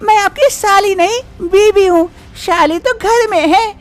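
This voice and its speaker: A woman voicing both twin sisters in a cartoon story, a young wife and her scheming sister, lively and teasing. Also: very high-pitched, measured pace, soft background music. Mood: playful.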